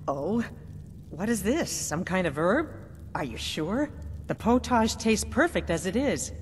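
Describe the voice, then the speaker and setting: An actress playing an eager, fawning castle chef who talks in a hesitant, formal way, as dialogue in a fantasy video game. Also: female voice, medium pitch, very animated, a little slow, slight background noise. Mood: deferential, unsure. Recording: hall reverb under game music.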